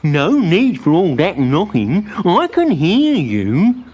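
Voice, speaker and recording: A woman's voice: fairly low pitch, huge pitch swings, takes it slowly, a little mellow, slightly rough, highs cut off, pitched above the performer's own voice, exaggerated voice. A woman voicing a fussy, absent-minded old male mole in a storybook, muttering and drawing out the words. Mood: flustered. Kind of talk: storybook character voice.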